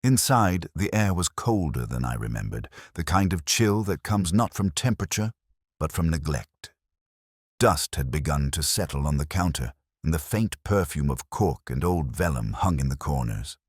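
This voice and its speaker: A man narrating a detective audio drama in the first person as a melancholy, cerebral inspector, in a measured, literary, brooding way. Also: a bass voice, expressive, husky, no room sound, clean studio sound. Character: melancholy, cerebral older male police inspector.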